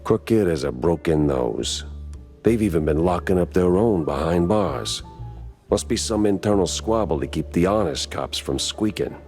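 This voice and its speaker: An actor playing a weary, wisecracking hardboiled mouse detective in a noir video game, deadpan and world-weary. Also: male voice, low-pitched, unhurried, vocal fry, faint music behind, exaggerated voice. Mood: grim, wry.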